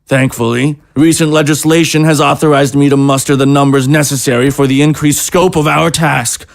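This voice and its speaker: An actor playing a fanatical, merciless military captain in an audio drama, pompous and oratorical, with a falsely genial streak. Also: male voice, mid-range voice, gravelly, dry recording, studio quality, close to an everyday voice.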